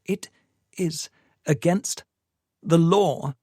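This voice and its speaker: A man reading an audiobook, voicing a distraught young woman with shrill, tearful pleading. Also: extremely slow, warm tone, breathy edge, no room sound, studio quality, full character voice. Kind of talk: audiobook dialogue.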